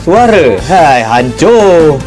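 High-voiced grumbling cartoon dad. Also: male voice, sing-song, extremely slow, a little mellow, soft background music, full character voice. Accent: Singaporean Malay. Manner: grumbling, lecturing. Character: opinionated middle-aged Malay father in police uniform. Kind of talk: cartoon voice acting.

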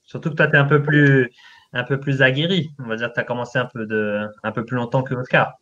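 A man's voice: mid-range voice, steady tone, fairly quick, sharp tone, highs cut off. Male talk host, mid-range voice, informal and slangy, teases guests while interviewing. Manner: teasing, slangy. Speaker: show host. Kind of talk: casual chat, interviewing guests.

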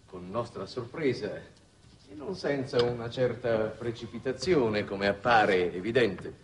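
An actor playing a shrewd, weary middle-aged statesman in a 1960s Italian television drama, speaking in formal, measured phrases. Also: lowish voice. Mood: grave, solemn. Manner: measured, formal, deliberate oratory. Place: television studio set. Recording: noisy old 1960s television soundtrack.

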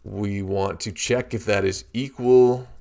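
A man teaching a coding tutorial, explaining each step in a steady walkthrough while he works at his computer. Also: on the low side, takes it slowly, gravelly. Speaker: programming tutorial instructor. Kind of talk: screen-recorded coding walkthrough.

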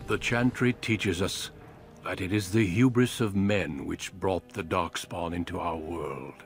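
An actor playing a grave, weary warrior of an ancient order, narrating a fantasy game in a solemn, measured, portentous way. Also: male voice, sits low, faint music behind. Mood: solemn, ominous.

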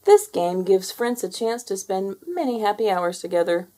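A woman's voice: mid-range voice, big pitch swings, moderate pace, mellow. A woman reading a children's picture book aloud as a storyteller.

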